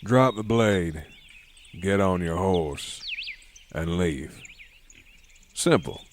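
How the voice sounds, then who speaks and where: A man playing a slow, menacing outlaw boss. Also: low-pitched, expressive, a little hiss or hum, exaggerated voice. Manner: slow, curt, threatening. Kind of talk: audio drama acting.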